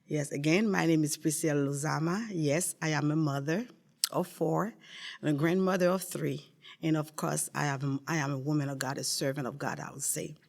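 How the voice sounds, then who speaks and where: An older woman from Haiti, a podcast guest telling her faith story earnestly, with many pauses and repeated words. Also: deep voice, fairly even intonation, vocal fry, a little mellow. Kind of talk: casual testimony.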